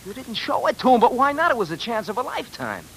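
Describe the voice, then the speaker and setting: A man playing a pushy, loyal friend, insistent and excitable, in 1940s radio style. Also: very high-pitched, fast, heavily acted, low-quality recording. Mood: exasperated. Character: pushy, loyal male friend urging a timid composer on. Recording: hissy old radio broadcast.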